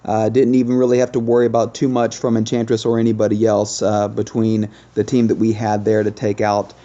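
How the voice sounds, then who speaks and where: Man, casual gaming host, explanatory delivery, soft dark tone, dull recording with music. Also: on the low side, completely flat delivery, fairly quick. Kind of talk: casual game commentary.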